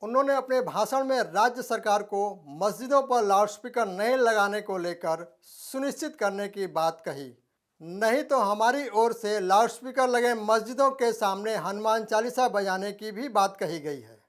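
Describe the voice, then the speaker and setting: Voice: mid-range voice, normal speed. News presenter reading a scripted report.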